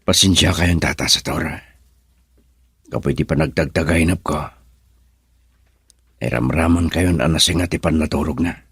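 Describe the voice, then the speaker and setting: An actor playing a troubled, confused man shaken by a nightmare in an Ilocano radio drama, speaking haltingly. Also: rumbling low, fairly bright tone, slightly rough, no room sound, clean studio sound, exaggerated voice. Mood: subdued, troubled. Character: troubled man waking from a nightmare, memory lost.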